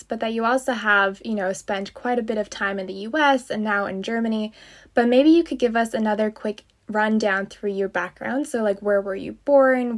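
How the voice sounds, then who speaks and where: A woman hosting an interview podcast, speaking in an enthusiastic, effusive and chatty way. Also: mid-range voice. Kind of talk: warm, chatty interview with scripted intro.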